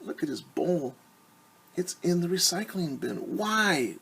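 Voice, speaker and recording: A man's voice: high-pitched, expressive, slow pace, creaky, fairly bright tone, slight background noise. A middle-aged man, a teacher, reading a picture book aloud to children and explaining things simply. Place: small room at home.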